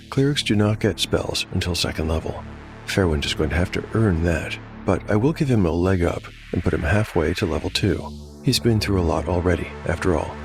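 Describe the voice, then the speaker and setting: A man with a very low, creaky voice narrates a fantasy tabletop game. His delivery is measured and reflective, like a scripted game master, with music clearly playing underneath.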